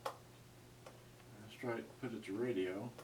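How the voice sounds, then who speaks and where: A man giving a casual, unscripted gadget review in a halting, rambling way, often trailing off mid-thought. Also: lowish voice, fairly even intonation, crowd noise. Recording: distant mic with clear noise and clicks.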